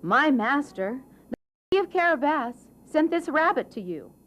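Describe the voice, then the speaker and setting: A woman's voice: sits high, very animated, normal speed, a bit bright, slight background noise. A woman voicing a clever, cheerful and polite talking male cat from a storybook. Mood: courteous. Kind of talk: storybook character reading.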